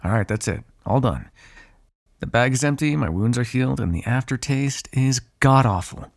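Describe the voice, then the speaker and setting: A man's voice: medium pitch, lively intonation, normal speed, gravelly. A man playing a sardonic, foul-mouthed vampire on the run in an ASMR roleplay, talking in a conversational, exasperated way. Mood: grumbling.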